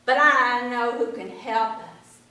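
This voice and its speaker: A slow, unsure frog croaks and mumbles in a low, dropped voice, as a woman storyteller does him. He hums and ribbits before he finds his words. It sounds distant in an echoey room. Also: sharp tone. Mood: hesitant.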